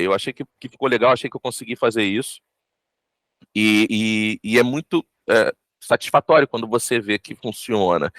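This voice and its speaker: A man in a behind-the-scenes interview, thinking aloud about his craft. He talks haltingly and restarts often, in a mid-pitched voice. The recording is dry and close. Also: no room sound. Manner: thoughtful, halting, with many restarts. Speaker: voice actor.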